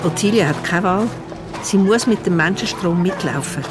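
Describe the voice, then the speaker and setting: A woman's voice: on the low side, expressive, moderate pace. A woman with a Swiss accent narrating a children's audio drama from a script. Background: music.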